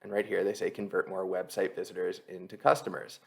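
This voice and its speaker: A man's voice: low-pitched, level tone, fairly quick, gravelly. A man presenting an explanatory screen-share tutorial as an instructor, talking conversationally and explaining things step by step.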